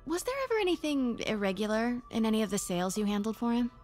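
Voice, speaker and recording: A woman's voice: fairly high pitch, sing-song, fast talker, a little mellow, soft background music. An actress playing a curious young woman reporter in a video game, friendly on the surface but persistently probing. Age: young adult. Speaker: undercover reporter.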